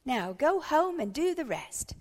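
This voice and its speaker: A woman reading a children's storybook, voicing a cheerful, excitable schoolteacher who encourages in singsong rhymes. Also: on the high side, slow pace, gravelly, big-room sound, exaggerated voice. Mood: upbeat. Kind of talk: children's storybook read-aloud.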